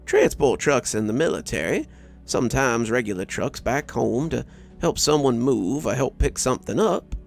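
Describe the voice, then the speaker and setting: A man voicing a gruff, businesslike boss of a trucking outfit, blunt and plain-spoken, in a story reading. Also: mid-range voice, animated, normal speed, soft background music. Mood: gruff, appraising.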